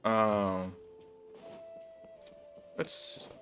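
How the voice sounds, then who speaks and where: Man, lowish voice, gaming streamer, casual slangy bro talk, faint music behind. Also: flat delivery, very slow, a bit bright. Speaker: game livestreamer. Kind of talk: casual gaming livestream chat. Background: game music.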